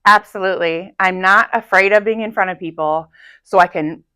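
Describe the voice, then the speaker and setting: Woman, 30s, teacher guest; loud and animated storyteller, casual school interview. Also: mid-range voice, moderate pace, clear, resonant tone. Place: high school classroom.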